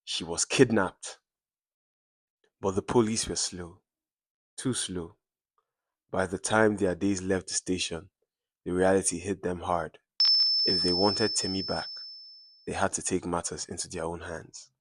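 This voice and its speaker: A man's voice: low voice, fairly even intonation, a little slow, long pauses, no echo. A man narrating a scripted dramatic story in suspenseful, short clipped phrases.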